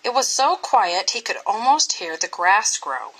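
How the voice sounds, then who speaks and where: A woman reading a children's story aloud in an expressive, scripted style with dramatic, deliberate phrasing. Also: mid-range voice, husky, rough recording. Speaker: storybook reader.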